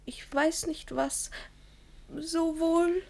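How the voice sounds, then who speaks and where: A woman's voice: very high-pitched, steady tone, drawn-out words, a little mellow, full character voice. An actress playing a naive, innocent young woman who tells her story in rhymed verse with artless eagerness, in a classic comedy radio play. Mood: dreamy delight.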